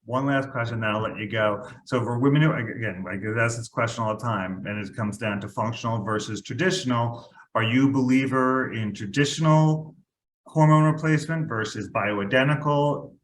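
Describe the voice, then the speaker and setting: A man's voice: medium pitch, normal speed, roomy sound, a little hiss or hum. A male podcast host asking a guest questions in a rambling, casual way. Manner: rambling, restarts questions, laughs lightly. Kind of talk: interview podcast, conversational questions. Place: New York.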